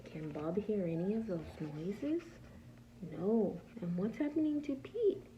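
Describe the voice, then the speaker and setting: A woman reading a picture book aloud as a preschool teacher, pausing to ask small children simple questions and patiently explaining the answers. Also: medium pitch, muffled recording, a little hiss or hum. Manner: animated, asks simple questions and answers them herself.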